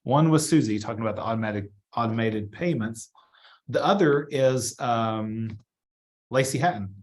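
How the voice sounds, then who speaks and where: A man with a mid-pitched voice leads an informal training walkthrough. He talks in a chatty, casual way, thinking out loud as he moves through each step. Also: normal speed. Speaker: real estate trainer. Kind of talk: casual live software walkthrough.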